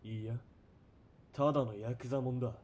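An actor playing an arrogant, high-strung young army lieutenant in a radio drama, with haughty, barking military speech. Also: male voice, fairly even intonation, brisk, a little mellow, breathy edge, natural voice. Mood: haughty, curt. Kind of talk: Japanese radio drama acting.

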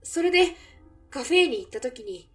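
A woman's voice: high voice, expressive, normal speed, recorded from a distance, faint music behind. A woman playing a wistful, reflective cafe owner remembering a lost love in a Japanese audio drama. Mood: wistful, nostalgic. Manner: reflective, feminine, emotive storytelling.

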